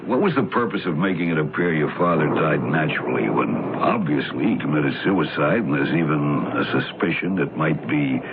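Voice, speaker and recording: An actor playing a probing, businesslike newspaper reporter in a radio drama. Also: male voice, extremely low pitch, animated, moderate pace, few pauses. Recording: narrow-band old radio broadcast, hissy noise.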